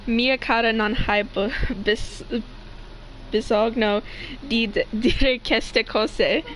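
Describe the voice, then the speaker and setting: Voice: medium pitch, steady tone, takes it slowly. A co-host speaking in an informal, slangy way, joking and reciting like an amateur among friends on a homemade recording. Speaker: co-host joining a fan fiction reading. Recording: noisy amateur home recording.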